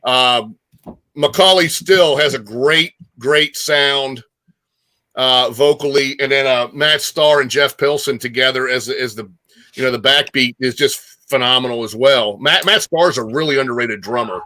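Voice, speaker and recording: A man's voice: medium pitch, a little slow, pushed and bright. A man hosting a music show in a casual, informal chat, repeating phrases for emphasis.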